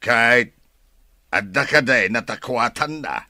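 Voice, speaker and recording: A man's voice: medium pitch, lively intonation, measured pace, bright, forceful tone, dry recording. A man with an Ilocano accent playing an easygoing grown son in a radio drama. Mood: dismissive. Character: easygoing grown son talking with his mother.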